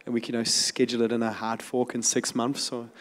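A man with a medium-pitched voice speaks informally at a conference panel. He offers opinions in a measured, halting way, repeating words as he goes. He talks into a handheld mic. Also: completely flat delivery, a bit bright. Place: conference room with seated audience.

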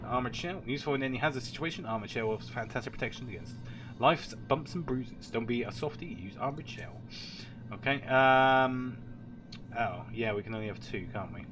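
A man with a congested, cold-stuffed voice giving casual, rambling gameplay commentary with some swearing. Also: mid-range voice. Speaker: gaming channel host. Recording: noisy track with game ambience. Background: video game ambience.

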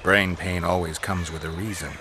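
An actor playing a gruff, stoic male monster hunter in a video game, with terse, deadpan delivery. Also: low-pitched, normal speed, a little hiss or hum. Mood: dry, firm. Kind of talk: video game character acting. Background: music.

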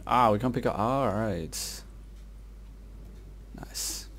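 A man's voice: on the low side, slow, a bit bright, a little hiss or hum. A man giving casual gameplay commentary in an awestruck, slangy way, peppered with dude and man. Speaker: gamer commentating his playthrough.

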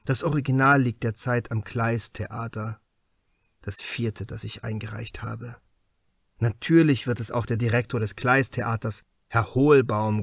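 A man's voice: medium pitch, no echo, clean studio sound, natural voice. A man voicing an idealistic, embittered writer in an audiobook, speaking in an earnest, opinionated way. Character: idealistic, embittered young male writer under suspicion. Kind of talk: audiobook character dialogue.

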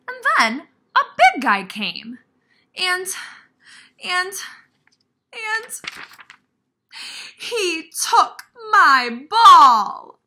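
Small storybook pig girl, acted by a woman: high, loud, swooping, childlike and easily upset. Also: big pitch swings, drawn-out words, long pauses, exaggerated voice. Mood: wailing, upset. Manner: loud, exaggerated, childlike delivery. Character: small, dramatic, easily upset female pig, picture-book character. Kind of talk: children's storybook read-aloud.

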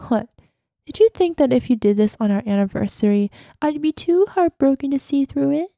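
A woman's voice: high voice, expressive, a little fast, mellow, dry recording, clean studio sound. A woman playing an obsessive, possessive girlfriend in an ASMR roleplay voicemail, sweetly menacing, dropping into whispers. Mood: possessive, threatening. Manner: breathy asides, drops into whispers, syrupy pet names.

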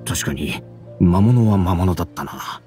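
A man's voice: low voice, expressive, measured pace, darkish tone, faint music behind. An actor playing an ordinary, weary townsman in an anime game, speaking in a plain, casual, earnest way. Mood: resigned. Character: ordinary weary townsman dreaming of an easier life. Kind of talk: Japanese anime game acting.

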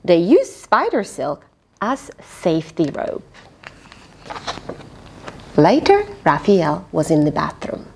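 A middle-aged woman reading a picture book aloud to children, expressive and teacherly, with questioning asides. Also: on the low side, takes it slowly, gravelly, pauses often, a little hiss or hum. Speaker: children's storyteller, host. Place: small indoor fabric tent with cushions.

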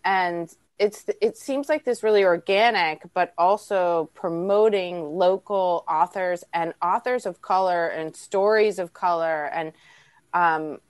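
A woman's voice: on the low side, measured pace, clean tone, dry recording, studio quality. A woman hosting a conversational podcast interview, stammering over repeated words as she goes. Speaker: podcast host.

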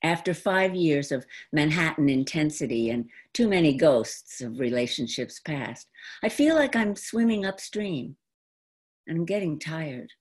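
Low-voiced, expressive older American woman. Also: husky. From New York, United States. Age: 70s. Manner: deliberate, dramatic phrasing with pauses. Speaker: monologue performer. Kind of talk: theatrical autobiographical storytelling monologue. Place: indoor room at home. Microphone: computer mic on a video call.

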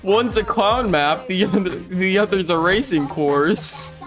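A woman's voice: mid-range voice, measured pace, music in the background. A young woman gamer in casual chat over a game, with sweary, joking banter.

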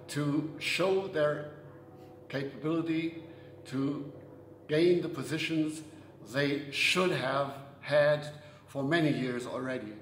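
An older man giving a slow, earnest address on women's leadership. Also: a little high, a bit bright. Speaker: organization official giving an address. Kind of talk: prepared speech. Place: indoor room. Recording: echoey room, faint background noise.

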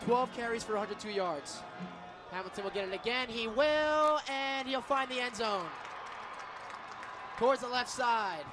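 An American man announces football play-by-play for radio in a very high-pitched voice. His calls are excitable and emphatic. Loud music runs underneath him. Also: measured pace, pauses often, a crowd in the background. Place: football stadium broadcast booth.

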